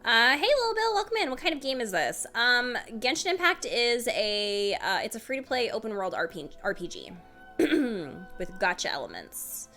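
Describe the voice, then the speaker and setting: A woman game streamer giving casual live reactions in an expressive, informal way. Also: on the high side, moderate pace, soft background music.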